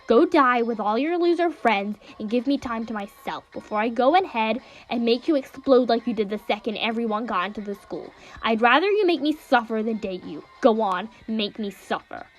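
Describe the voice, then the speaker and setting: A woman's voice: fairly high pitch, lively intonation, normal speed, hardly any pauses, muffled recording, lightly acted. A cold, hot-headed young man spits out curt, contemptuous insults, as a woman reads him. Faint music plays beneath the reading. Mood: scornful. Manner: curt and scornful.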